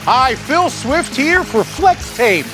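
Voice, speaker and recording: A man delivering a scripted TV commercial pitch in a punchy, salesmanlike way. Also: big pitch swings, takes it slowly. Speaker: advertising pitchman. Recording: commercial clip with loud music.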